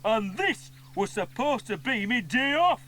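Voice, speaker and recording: A man's voice: extremely high pitch, big pitch swings, unhurried, sharp tone, pitched above the performer's own voice, full character voice. A man voicing a clumsy, cheeky odd-job helper in a children's cartoon, comic and colloquial. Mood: put out. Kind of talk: children's cartoon character acting.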